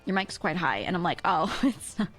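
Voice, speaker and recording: A woman's voice: lowish voice, sing-song, normal speed, a bit bright. A woman livestream host with a thin voice, chatting casually and animatedly to her viewers in a rambling, giggly way, close on a streaming mic. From US East Coast. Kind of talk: casual livestream chat, reading and answering viewers' comments. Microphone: close streaming microphone. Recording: music playing behind the voice. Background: background music.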